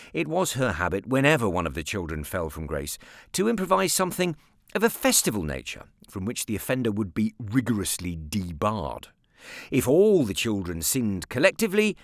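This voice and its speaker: A British man narrating a story as an audiobook reader, expressive, measured and wryly articulate. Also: mid-range voice, no room sound, clean studio sound.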